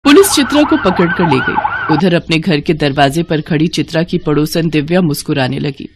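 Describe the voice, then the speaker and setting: A woman's voice: low voice, huge pitch swings, moderate pace, background noise. A woman narrating a scripted devotional story in a dramatic storytelling manner. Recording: music behind the voice. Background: background music.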